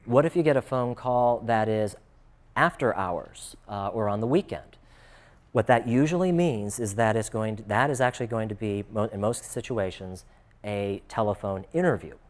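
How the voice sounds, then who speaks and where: Low-pitched American man coaching informally. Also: normal speed. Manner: conversational, explanatory, peppered with "you know". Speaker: job interview coach. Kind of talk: informal instructional talk.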